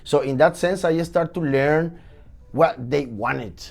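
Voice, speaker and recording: A man in his forties from Venezuela with a Venezuelan Spanish accent, a chef telling his story as a podcast guest in a lively, energetic way. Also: slow pace, vocal fry, faint music behind. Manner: animated, energetic storytelling with swings in pitch. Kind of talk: casual podcast interview.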